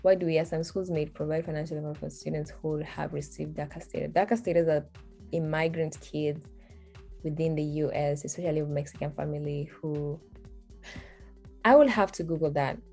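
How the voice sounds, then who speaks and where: A woman's voice: deep voice, steady tone, fairly quick, gravelly, warm tone, soft background music. A young woman from Kenya whose first languages are Swahili and Luo, chatting casually as she walks through a form on screen, thinking aloud and laughing at herself. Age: young adult. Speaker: video tutorial host. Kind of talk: screen-recorded walkthrough.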